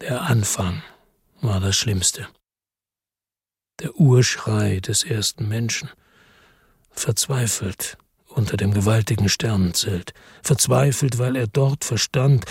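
Husky breathy guy narrating a radio play, brooding, dead dry sound. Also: fairly low pitch, darkish tone, dry recording. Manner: brooding, introspective. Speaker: first-person narrator and lead.

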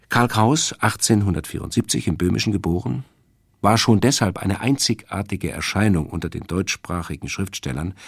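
Man, very low voice, rough husky tone, scripted cultural radio commentary, emphatic and erudite, measured delivery. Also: dry recording, clean studio sound. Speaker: radio presenter introducing a radio play. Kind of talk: scripted literary radio essay.

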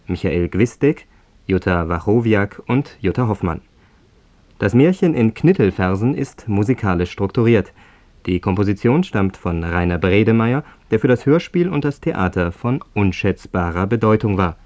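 A man's voice: on the low side, animated, moderate pace, darkish tone. A man presenting a radio play in scripted, informative narration.

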